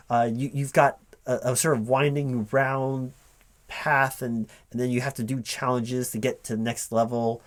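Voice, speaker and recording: A man playing a polite, flustered hiring manager in an improvised interview roleplay, speaking aloud in a halting, rambling, stammering way. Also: medium pitch, close to an everyday voice. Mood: bemused. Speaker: recruiting manager in a one-sided roleplay.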